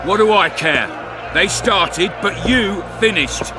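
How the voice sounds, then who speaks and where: An actor playing a brash, scornful town commandant in a video game, coarse and menacing. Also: male voice, high voice, music in the background, a crowd in the background, rough recording. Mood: angry, scornful. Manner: barking, scornful, slow deliberate delivery.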